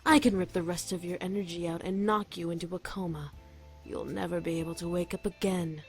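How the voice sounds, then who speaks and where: A woman's voice: lowish voice, fairly even intonation, faint music behind, lightly acted. Smug, seductive succubus demon, played by a woman. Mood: menacing, commanding. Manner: condescending, teasing, drawn-out delivery. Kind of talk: visual novel game voice acting.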